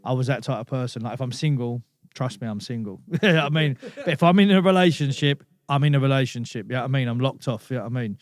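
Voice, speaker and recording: A man's voice: mid-range voice, quick pace, hardly any pauses, dry recording. A man, a podcast guest telling stories in casual chat, laid-back and dropping "you know what I mean" often. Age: 30s.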